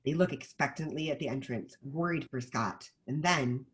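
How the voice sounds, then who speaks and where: A woman reading stage directions as scripted narration at a table read, in an animated manner. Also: rumbling low, normal speed.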